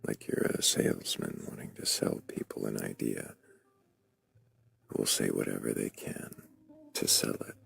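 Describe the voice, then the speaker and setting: A man hosting a livestream debate, speaking slowly in a dry, sardonic manner, often dropping to a near whisper. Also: little pitch movement, fairly bright tone. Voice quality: very low gravelly vocal fry. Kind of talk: livestream chat.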